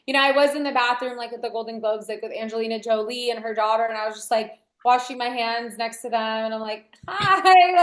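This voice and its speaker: A woman's voice: a little high, a little fast, hardly any pauses, fairly bright tone, roomy sound. A young woman, raised speaking Portuguese first, chatting upbeat as a podcast guest with lots of "like" fillers. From United States, Brazilian family. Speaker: guest, DJ and entrepreneur. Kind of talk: casual interview chat.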